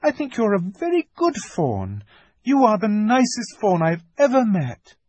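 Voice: mid-range voice, very animated, measured pace, a little mellow, dry recording, voice pushed up. A reader voicing a kind young girl who speaks with pleading concern. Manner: pleading, distressed. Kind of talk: audiobook character voice.

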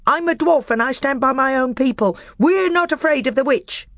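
A woman's voice: sits high, moderate pace, no room sound, studio quality, pitched above the performer's own voice. A woman reading aloud, voicing a scheming, bitter male dwarf who speaks coldly and snaps. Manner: cold, snapping, rising to a scream.

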